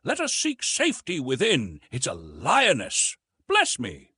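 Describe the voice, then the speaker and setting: A man voicing a fussy, panicky elderly gentleman in an audiobook, exclamatory and blustering. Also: medium pitch, wildly swooping pitch, a little slow, husky, dry recording, clean studio sound, full character voice. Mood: alarmed. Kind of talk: audiobook character voice.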